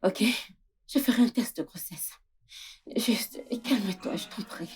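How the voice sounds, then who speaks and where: A woman's voice: a little high, lively intonation, moderate pace, mellow, slight background noise, close to an everyday voice. A woman playing an anxious young wife who coaxes and soothes, in dubbed film dialogue. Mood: soothing, coaxing. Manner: coaxing, pleading.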